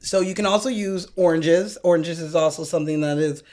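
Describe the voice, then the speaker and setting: A woman's voice: fairly low pitch, fairly quick, warm tone. A woman co-hosting a podcast, explaining things in casual, informal talk with swearing. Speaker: podcast co-host. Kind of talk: casual chat.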